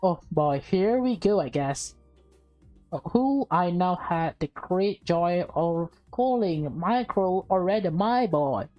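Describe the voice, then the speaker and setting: A woman's voice: fairly low pitch, lively intonation, slow pace, muffled recording, soft background music. A woman reading a visual novel aloud with casual asides, often stumbling and rereading phrases. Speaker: gaming video host, reader.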